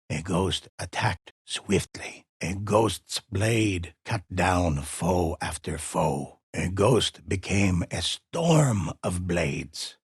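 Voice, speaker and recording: Solemn old legend teller from a game, super husky, slow and deliberate, dry close sound. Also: male voice, low-pitched, dry recording, full character voice. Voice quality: husky, raspy. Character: grave old male storyteller recounting warrior legends. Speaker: game narrator. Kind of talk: dramatic scripted storytelling narration.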